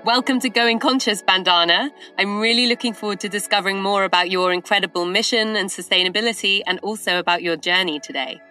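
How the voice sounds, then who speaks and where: Measured woman podcast host. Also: medium pitch, bright, forceful tone, faint music behind. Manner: measured, upbeat reading of the intro. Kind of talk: scripted intro, then interview questions.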